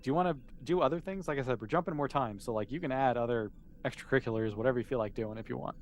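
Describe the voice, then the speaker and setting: A man's voice: medium pitch, racing. A man casually narrating a tabletop game as game master, explaining in an easygoing, conversational way.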